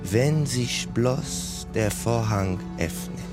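An actor playing a stage singer who picks himself up again, in a scripted radio drama monologue. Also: male voice, mid-range voice, animated, drawn-out words, loud music behind the voice. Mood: reflective.

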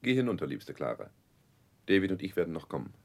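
A man's voice: low-pitched, very animated, fast, vocal fry, slightly rough, heavily acted. An actor playing a cruel, domineering stepfather in a 1960s German radio drama, cold, menacing and commanding. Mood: cold, controlled.

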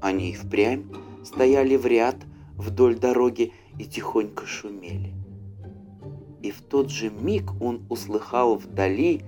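A man reading a fairy tale as an audiobook narrator, in an expressive storytelling style. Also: lowish voice, slow pace, muffled recording. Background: faint music.

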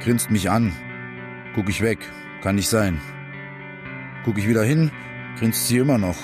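An actor playing a rough working-class young man in a German radio play, telling a tender memory in clipped, colloquial sentences. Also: lowish voice, a little slow, natural voice. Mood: wistful, plain. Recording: music behind the voice.

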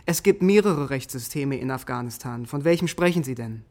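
A man's voice: mid-range voice, expressive, brisk, darkish tone, no room sound, close to an everyday voice. A man acting in a radio drama as an embittered, defiant German army sergeant who narrates his own fate with clipped intensity. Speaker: lead actor in radio drama. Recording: music behind the voice.

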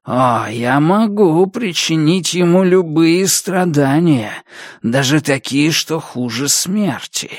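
Man voicing a slow, menacing, vengeful little fanatic. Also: fairly high pitch, animated, dry recording, studio quality, voice pushed up. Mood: anguished threat. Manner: slow, drawn-out, threatening delivery.

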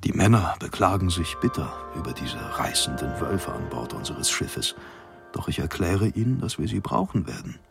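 An actor playing a vain, unflappable polar expedition captain who reads his journal in a measured, formal way. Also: sits low, very animated, vocal fry, over music, full character voice. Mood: composed, stately. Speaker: actor in a radio play. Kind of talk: dramatised journal narration.

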